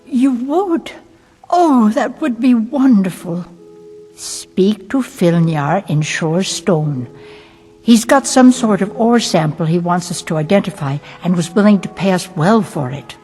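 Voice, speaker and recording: An actress playing a put-upon, plain-spoken apothecary assistant in a video game, earnest and a little weary. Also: female voice, medium pitch, lively intonation, unhurried, warm tone, breathy edge, big-room sound, soft background music. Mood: grateful. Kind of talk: video game character dialogue.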